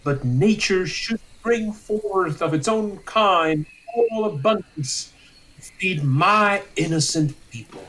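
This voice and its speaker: A man playing a kindly, talkative, dignified old counsellor in a Shakespeare audio drama, with a deliberate, declamatory delivery. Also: high-pitched, expressive, a bit bright, heavily acted, low-quality recording. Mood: visionary, expansive.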